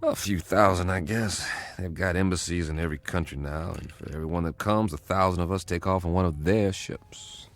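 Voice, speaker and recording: Deep, gravelly, wry codebreaker. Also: male voice, moderate pace, hardly any pauses. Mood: sardonic, bemused. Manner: sardonic, conversational. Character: wry, world-weary male government codebreaker telling his story. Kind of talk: radio drama narration and dialogue.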